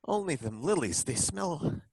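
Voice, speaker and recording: An actor playing a dreamy, drawling inspector who is eerily calm, in an old-time radio drama. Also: male voice, a little high, measured pace, vocal fry, slight background noise, heavily acted.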